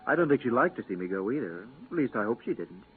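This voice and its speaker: A man's voice: mid-range voice, sing-song, fast talker, close to an everyday voice. An actor playing a homesick married spaceman, plain-spoken and earnest, in 1950s radio drama style. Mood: wistful. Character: wistful married spaceman, quietly homesick crewman. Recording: tinny, hissy 1950s radio recording.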